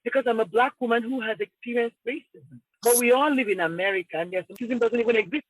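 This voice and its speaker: A woman's voice: on the high side, quick pace, low-quality recording. A middle-aged woman with a Nigerian accent, talking confidently and emphatically as a podcast guest. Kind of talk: casual conversation.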